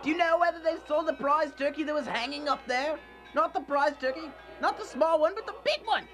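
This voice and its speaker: A woman playing an old male miser reborn as a giddy, generous soul in a radio drama, exclaiming and repeating phrases excitedly. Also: high-pitched, fairly quick, faint music behind. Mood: overjoyed, giddy.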